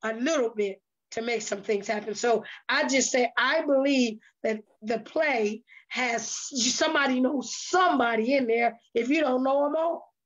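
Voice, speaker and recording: Casting: woman, high voice, emphatic exhorting speaker who repeats points for effect, dull lo-fi audio. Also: normal speed. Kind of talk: earnest motivational talk.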